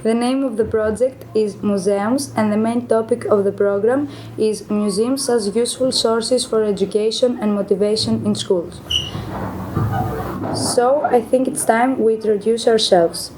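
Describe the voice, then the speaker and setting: A woman's voice: fairly high pitch, fairly even intonation, unhurried. A teenage girl from Greece hosting a student podcast, asking questions and passing turns to others. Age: teens. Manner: asks questions, moderating. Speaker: student host. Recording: noticeable background hiss.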